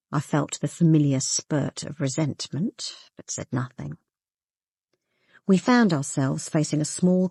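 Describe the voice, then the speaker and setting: A woman's voice: deep voice, darkish tone, slightly rough, no echo, clean studio sound, full character voice. An actress playing a composed, observant woman detective who narrates a radio drama in the first person. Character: observant, guarded young woman detective narrating her case.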